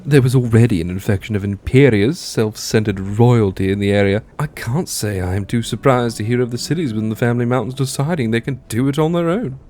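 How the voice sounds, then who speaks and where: A man playing a measured, formal and dry master sergeant of a fantasy race in an audiobook. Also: mid-range voice, darkish tone, slight background noise, close to an everyday voice. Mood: dry, composed. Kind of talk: audiobook character acting.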